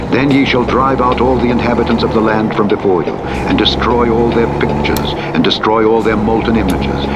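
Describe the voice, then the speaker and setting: A man narrating the Bible in a measured, solemn recitation, reading from a script. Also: lowish voice. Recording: muffled playback under loud vehicle engine noise. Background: loud truck simulator engine drone.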